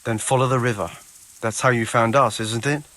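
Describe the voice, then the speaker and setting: A man acting as a pious medieval charcoal burner in a video game. His voice sits at an ordinary middle pitch. He talks in a dry, dismissive, reluctant way. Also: steady tone, a little fast, sharp tone, no room sound, natural voice. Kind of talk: video game cutscene dialogue.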